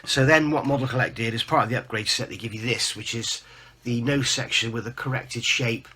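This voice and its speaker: Give this to a man with a husky, croaky voice that still has a bright, forceful edge. He is hosting a hobby update, talking casually and informally as he rambles through what he has been working on. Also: mid-range voice, brisk. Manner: chatty, informal, rambling show-and-tell. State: croaky, recovering from losing his voice. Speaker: host of a scale modelling channel.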